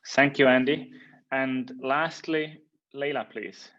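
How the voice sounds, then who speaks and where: A man moderating a panel, putting questions to guests in a halting, informal way. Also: medium pitch, fairly even intonation, a bit bright, muffled recording. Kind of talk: casual questioning.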